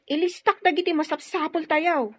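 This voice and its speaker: Actress as a very high-voiced, dutiful housemaid. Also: female voice, steady tone, moderate pace, natural voice. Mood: obliging. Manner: deferential, obliging. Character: polite, dutiful young female household helper. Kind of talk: radio drama acting. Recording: dull lo-fi audio, music behind.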